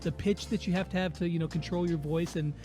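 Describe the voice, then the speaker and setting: A woman's voice: fairly low pitch, steady tone, fast. A woman interviewer chatting casually and putting questions in an informal way. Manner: relays fan questions informally. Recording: music behind the talk.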